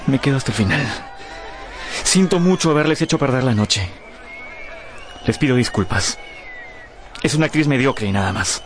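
An actor playing a cruel, anguished young gentleman in a radio drama, with a theatrical, scornful delivery. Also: medium pitch, lively intonation, moderate pace, pauses often, slight background noise, natural voice. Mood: bitterly disappointed.

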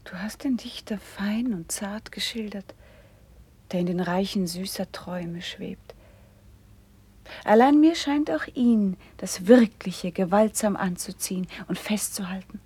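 An actress playing a gentle, thoughtful noble princess, speaking formal verse in a measured, soft-edged way in a classical radio drama. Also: female voice, mid-range voice, slightly rough, dry recording. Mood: gently probing. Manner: measured, formal, poetic declamation.

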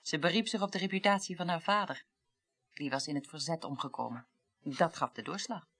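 An actress playing a weary, well-read middle-aged woman in a radio play, recounting the past deliberately and articulately. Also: deep voice, fairly bright tone. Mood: grave. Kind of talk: 1980s radio play acting.

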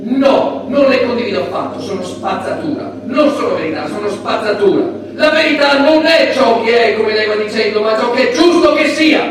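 An actor playing a stern, self-righteous judge who declaims and accuses in a theatrical courtroom drama. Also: male voice, extremely high pitch, lively intonation, normal speed, few pauses, over music. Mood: condemning. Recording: very echoey stage, far from the mic.

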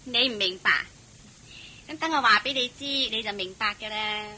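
A woman playing a scornful, scheming young wife in a Cantonese radio drama, sneering and sharp-tongued. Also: mid-range voice, normal speed, rough recording.

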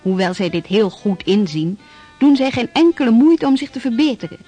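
An actress playing a formal reciter of old historical documents in a scripted radio drama. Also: female voice, on the high side, lively intonation, moderate pace, mellow, faint music behind. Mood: disapproving.

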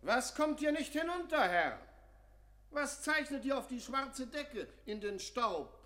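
An actor playing an agitated church sexton in a German radio play, speaking in halting, abruptly emphatic fragments. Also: male voice, extremely high pitch, very animated, bright, forceful tone, exaggerated voice. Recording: long echoing church-like reverb.

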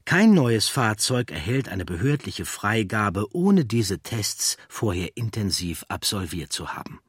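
A man reading scripted documentary narration in a measured, factual way. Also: on the low side, sing-song, slightly rough, dry recording, studio quality.

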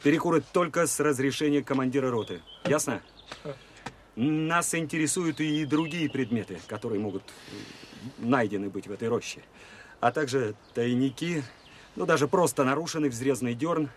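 Radio drama officer, male actor: medium-pitched, insistent, instructing his men, slight background noise. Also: normal speed, lightly acted. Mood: earnest, instructing. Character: earnest Soviet officer briefing soldiers on a search. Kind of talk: Russian radio play acting.

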